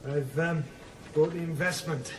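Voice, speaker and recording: An actor playing a suspicious, coolly threatening criminal financier, probing and guarded, in a radio drama. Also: male voice, a little high, steady tone, unhurried, roomy sound, far from the mic, noisy background, natural voice. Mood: wary.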